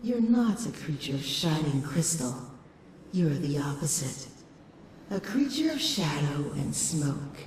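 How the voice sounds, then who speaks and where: A woman's voice: sits low, very animated, a little slow, darkish tone. A woman voicing a sinister, manipulative shadow creature in a comic dub, speaking in a coaxing, ominous manner. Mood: taunting, revealing. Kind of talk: dramatic comic dub acting. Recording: echoing hall reverb, distant, faint noise.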